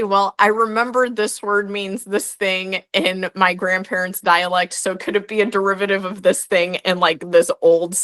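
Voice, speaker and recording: A woman's voice: medium pitch, a bit bright. A young woman, a guest historian, answering interview questions in a chatty way full of "like" and restarts.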